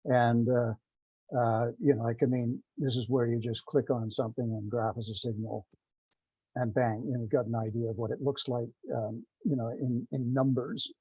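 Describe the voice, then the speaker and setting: A man giving an informal technical presentation on a teleconference, speaking in a halting, rambling way. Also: medium pitch, fairly even intonation, husky. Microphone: remote teleconference call. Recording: dull, roomy conference-call audio.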